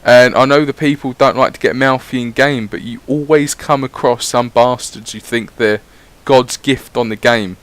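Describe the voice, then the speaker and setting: A man's voice: medium pitch, normal speed, few pauses, a bit bright. A man giving casual, rambling voice-over commentary on his gameplay, swearing freely as he chats. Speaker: gaming YouTuber.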